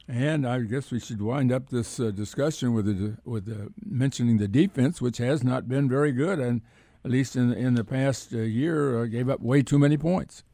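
A man hosting an unscripted sports radio interview, phrasing things in a halting, hesitant way. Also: mid-range voice, gravelly, mellow, dry recording, clean studio sound. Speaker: sports talk radio host.

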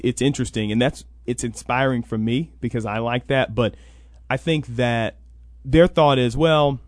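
A man with a medium-pitched voice gives chatty interview answers as a guest. He explains things through little quoted exchanges. The sound is dry and close, with little room. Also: no echo. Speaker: interview guest, college NIL program coordinator. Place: radio sports talk show.

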